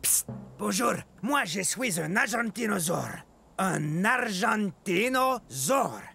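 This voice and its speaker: An actor playing a gigantic, shy, gentle plant-eating dinosaur in a children's cartoon, talking in a sheepish way with a gravelly voice. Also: male voice, high voice, expressive, full character voice. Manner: slow, sheepish, whispery asides. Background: music.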